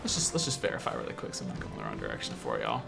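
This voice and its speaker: A man's voice: rumbling low, wildly swooping pitch, rapid-fire, gravelly, over music. An American man from the Midwest hosting casual game commentary, rambling and explanatory with an enthusiastic manner. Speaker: show host, 3D artist.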